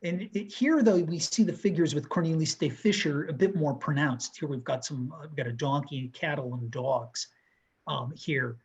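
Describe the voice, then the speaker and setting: A man in his thirties co-hosting a museum talk over a video call, walking viewers through artworks in a measured, descriptive way. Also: a little high, breathy edge, lo-fi sound. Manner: measured, descriptive, pointing out details. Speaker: museum co-host presenting artworks. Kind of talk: art history talk over slides. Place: room with bookshelves.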